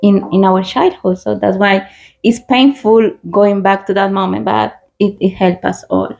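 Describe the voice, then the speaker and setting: A woman's voice: medium pitch, steady tone, measured pace, creaky, darkish tone, a little hiss or hum, low-quality recording. Chatty woman host from Colombia. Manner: chatty, frequent "like" fillers. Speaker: podcast host. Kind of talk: casual interview chat.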